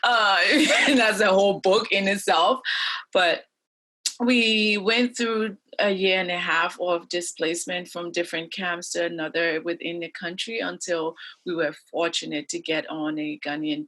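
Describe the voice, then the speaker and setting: A woman's voice: lowish voice, fairly bright tone. A woman in her forties from Liberia, a guest in an interview, telling her life story in an earnest, reflective way.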